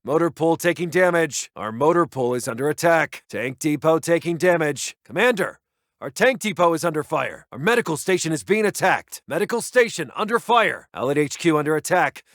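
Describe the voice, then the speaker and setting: A man playing a brisk, dutiful American army intelligence officer in a Second World War video game, giving clipped, formal reports to his commander. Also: on the high side, a bit bright, dry recording, studio quality. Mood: urgent. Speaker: military announcer reporting to the commander. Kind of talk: scripted video game unit voice lines.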